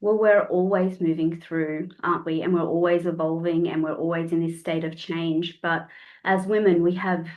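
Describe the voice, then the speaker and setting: A woman in her forties with a slightly croaky voice, reflecting as a guest in a casual podcast conversation. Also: fairly low pitch, steady tone, moderate pace, phone-quality sound. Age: 40s. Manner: reflective, searching for words, repeats phrases. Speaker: guest, counsellor and somatic sexologist.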